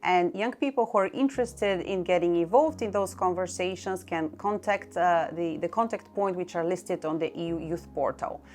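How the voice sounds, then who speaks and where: A middle-aged woman from Bulgaria with a Bulgarian accent, explaining her work in a measured way and listing items one by one. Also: lowish voice, a little mellow. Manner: measured, lists items one by one with short pauses. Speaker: EU youth coordinator. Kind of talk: explanatory talk to camera. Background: faint background music.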